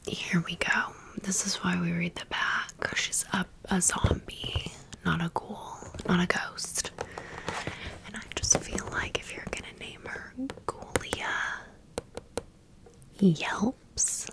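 Female ASMR creator, breathy whisper, very unhurried, chatty and rambling with dry playful asides. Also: lowish voice, fairly even intonation. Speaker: ASMR content creator presenting toys. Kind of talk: whispered ASMR show-and-tell with casual chat.

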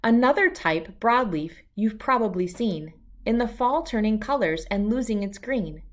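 A woman's voice: mid-range voice, lively intonation, moderate pace. A middle-aged woman reading a rhyming children's picture book aloud in a sing-song way that follows the verse.

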